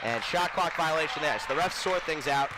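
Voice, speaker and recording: High-voiced man calling basketball play-by-play, noisy gym. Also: brisk. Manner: excited shouting on big plays. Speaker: play-by-play sports announcer. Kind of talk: live basketball play-by-play commentary. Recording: noisy gym with court sounds. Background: basketball bounces and gym noise.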